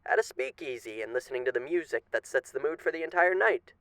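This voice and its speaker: A man's voice: on the high side, big pitch swings, quick pace, fairly bright tone, dry recording. A man playing a suave, menacing radio demon in an ASMR roleplay, speaking with genteel, teasing, theatrical politeness. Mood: showman-like, sly.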